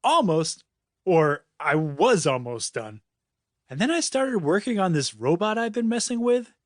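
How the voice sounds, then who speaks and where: A man's voice: high-pitched, big pitch swings, a little slow, no echo, studio quality. A man voicing a distracted, apologetic boy inventor in a storybook, earnest and a little hesitant. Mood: sheepish.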